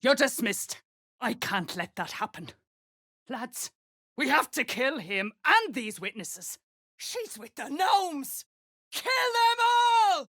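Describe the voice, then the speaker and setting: A woman playing a gruff, threatening dwarf sergeant in a video game, coarse and slangy in her speech. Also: sits high, very animated, slow pace, fairly bright tone, heavily acted. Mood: menacing, commanding. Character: gruff, menacing female duergar sergeant driving slaves. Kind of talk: video game character acting.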